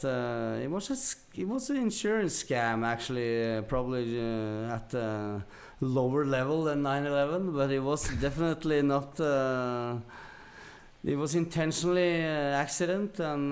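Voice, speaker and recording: A man's voice: mid-range voice, gravelly. A man with a Norwegian accent telling stories casually as a podcast guest, in a rambling, blunt, dry-humored way. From Norway. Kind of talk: casual storytelling.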